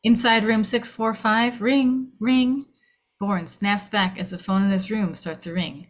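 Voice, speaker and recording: A woman narrates a screenplay at a table read. Her voice is medium in pitch as she reads out scene headings and action lines. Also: fairly even intonation, measured pace.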